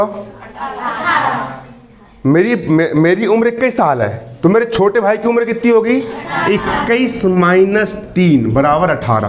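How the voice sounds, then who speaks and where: A man in his early twenties teaching a maths class. He keeps repeating questions to the students and teases and scolds them. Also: high-pitched. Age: 20s. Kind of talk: classroom lesson. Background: students in the room.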